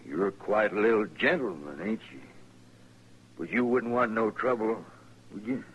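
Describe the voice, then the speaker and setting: Slow-talking, swaggering western outlaw. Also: male voice, fairly low pitch, lively intonation, creaky, a little hiss or hum. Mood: menacing, mock-polite. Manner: mock-polite, taunting, folksy drawl-like phrasing. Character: swaggering, menacing Old West outlaw gunman, big talker. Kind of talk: old-time western radio drama acting. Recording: dull old radio recording, crowd murmur.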